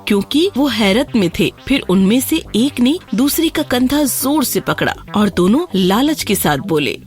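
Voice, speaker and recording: A woman's voice: fairly high pitch, sing-song, darkish tone. A woman narrating a scripted fairy tale for children as a storyteller. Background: background music.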